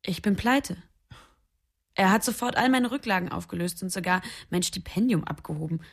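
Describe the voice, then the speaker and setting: An actress playing a blunt, teasing, world-weary young woman in a radio drama, with a husky, creaky voice and a frank way of talking. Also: medium pitch, normal speed, lightly acted. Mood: bitter, weary.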